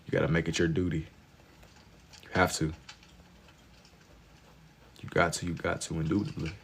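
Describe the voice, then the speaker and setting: A man in his twenties hosting a livestream, chatting casually with viewers in laid-back slang and getting loud and laughing when teased. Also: low voice, flat delivery, moderate pace, a little hiss or hum. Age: 20s. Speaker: livestream host. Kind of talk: casual chat with viewers while drawing.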